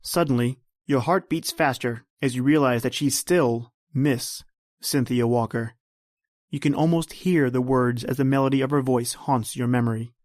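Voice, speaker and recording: A man's voice: mid-range voice, normal speed, a little mellow, no room sound. A man hosting and narrating a scripted old-time radio style suspense drama, close to the mic. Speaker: podcast host and narrator.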